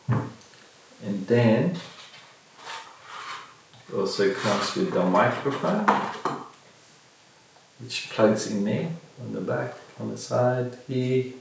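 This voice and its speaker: A middle-aged man with a gravelly voice, casually showing and reviewing a product at a table in a bare room, picked up by a camera a few metres away. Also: lowish voice, expressive, extremely slow, long pauses. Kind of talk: casual unboxing demonstration. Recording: very echoey room, distant mic, faint noise.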